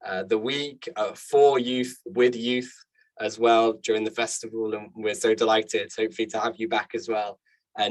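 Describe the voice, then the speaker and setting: A man's voice: mid-range voice, low-quality recording. A man hosting an online event in an informal presenting style, with an upbeat, appreciative manner. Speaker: event host.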